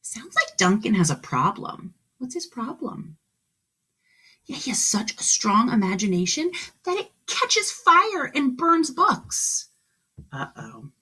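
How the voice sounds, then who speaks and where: A woman teacher reads to small children in a husky voice with very lively intonation. She takes her time, exclaiming, asking questions and explaining as she goes. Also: fairly high pitch, slow. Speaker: kindergarten teacher. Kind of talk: read-aloud to young children with explanations and questions.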